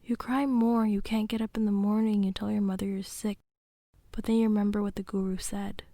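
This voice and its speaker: A woman narrating a radio drama in the second person, with deliberate phrasing and hushed, breathy passages. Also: medium pitch, level tone, no echo, clean studio sound. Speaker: narrator and host.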